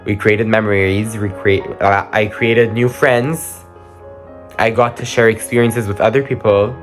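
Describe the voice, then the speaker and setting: A man's voice: lowish voice, steady tone, unhurried, soft background music. A late-teen young man whose first language is Armenian, giving a rambling, hesitant farewell reflection as a graduating student, with frequent ums and restarts. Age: late teens.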